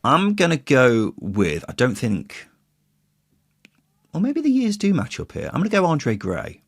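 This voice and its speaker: A man's voice: mid-range voice, huge pitch swings, measured pace, husky, dry recording, clean studio sound. A man hosting a football podcast, chatting casually with playful, teasing banter. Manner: jokey, playful teasing, mild swearing. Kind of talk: casual banter, quiz chat.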